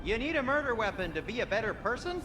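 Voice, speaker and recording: A man's voice: extremely high pitch, very animated, fast. A male actor playing a fussy, anxious fantasy shopkeeper in a video game, prim, wordy and fretful. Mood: alarmed disapproval. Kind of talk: video game dialogue acting. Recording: noisy game ambience behind voice.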